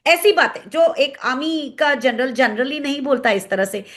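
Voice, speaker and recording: A woman with an Indian accent giving analytical commentary in an interview, explaining things and pausing to search for words. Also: high voice, animated, fairly bright tone. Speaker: guest commentator, former pilot.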